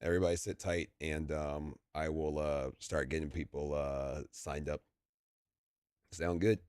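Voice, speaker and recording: A young man chatting casually on a live stream, rambling and stammering through fillers while his voice is going and he keeps clearing his throat. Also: a bass voice, fairly even intonation, a little slow, darkish tone, no echo, studio quality.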